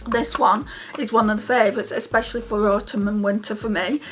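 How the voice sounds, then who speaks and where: A woman's voice: mid-range voice, steady tone, a little fast, soft background music. A British woman talking casually to her viewers as a beauty vlogger, in a rambling, chatty way. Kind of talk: casual chatty makeup vlog.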